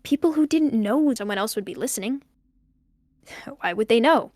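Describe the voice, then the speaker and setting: A woman's voice: on the high side, expressive, a little mellow, no room sound, natural voice. A young woman playing a lonely, bookish student recording a hesitant, reflective audio diary for an audio drama. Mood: pensive, uneasy, then wistful, self-conscious. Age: young adult. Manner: halting, reflective, trailing off. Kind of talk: intimate audio diary monologue.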